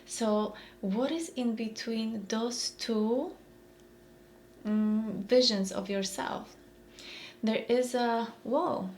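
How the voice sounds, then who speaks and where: Polish-accented woman in her 40s, slow thoughtful talk, kinda high voice. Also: darkish tone, a little hiss or hum. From Poland. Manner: thoughtful, repeats phrases, searches for words. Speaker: mindfulness speaker. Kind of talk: reflective motivational talk.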